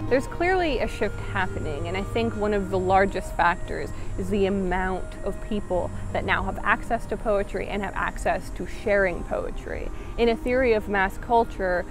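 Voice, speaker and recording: A young woman in her twenties narrating a documentary to camera outdoors in an earnest, measured way, on a lapel mic. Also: mid-range voice. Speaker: documentary maker and interviewer. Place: outdoors by a stone wall. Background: background music.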